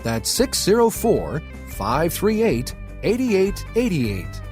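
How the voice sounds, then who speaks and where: A man's voice: high voice, huge pitch swings, slow, a little mellow. A man reading a scripted radio promo as an announcer. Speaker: advertisement announcer. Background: music bed.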